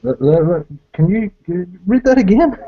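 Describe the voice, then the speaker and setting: A man co-hosting a casual chat, talking haltingly and repeating words as he starts. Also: sits high, animated, no echo. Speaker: podcast co-host. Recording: dry, dull lo-fi audio.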